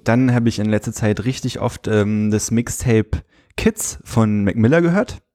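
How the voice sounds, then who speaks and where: A man, co-hosting a casual podcast and talking about music in a relaxed, hesitant way with many fillers. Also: on the low side, a little slow. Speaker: podcast co-host.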